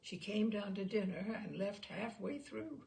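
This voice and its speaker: A woman's voice: mid-range voice, expressive, a little slow, gravelly, a little mellow, a little hiss or hum. A woman reading a literary short story aloud expressively, with theatrical emphasis on key words.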